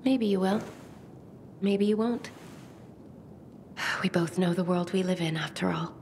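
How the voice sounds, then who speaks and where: An actress playing a pensive, sensitive young bookish survivor in a video game, speaking in measured, formal phrases. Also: female voice, lowish voice, vocal fry, darkish tone, a little hiss or hum. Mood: resigned, tender. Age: young adult. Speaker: game character. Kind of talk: video game cutscene dialogue.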